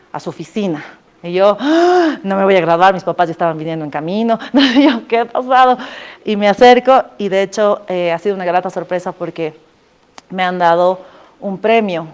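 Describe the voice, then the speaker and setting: A young woman from Bolivia with a Bolivian Spanish accent, telling anecdotes to an audience in a casual, animated way, laughing often and asking listeners to agree with her. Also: fairly low pitch. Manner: animated, laughs often, addresses listeners directly with tag questions. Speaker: former scholarship student giving a talk. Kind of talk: casual storytelling talk to an audience.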